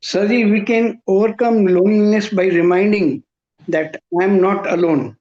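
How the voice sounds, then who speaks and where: Earnest male participant, very high voice, short devotional answers; muffled call audio. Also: moderate pace, a little mellow. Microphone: video call. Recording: dull lo-fi video call audio.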